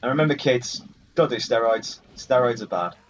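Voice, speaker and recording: A man's voice: medium pitch, animated, moderate pace. A man giving casual let's play commentary, bantering jokily and swearing mildly at the game's characters. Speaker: gaming video host. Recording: muffled lo-fi audio over game music. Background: video game music.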